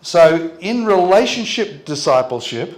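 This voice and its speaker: An older Australian pastor preaching in short, gentle phrases, pausing so an interpreter can translate his sermon. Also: a little high, big pitch swings. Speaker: visiting pastor. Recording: roomy hall echo.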